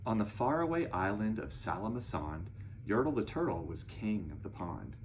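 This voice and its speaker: Man reading aloud with lively intonation. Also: on the low side, normal speed, a little hiss or hum. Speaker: children's storybook reader. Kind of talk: expressive read-aloud of a rhyming children's story.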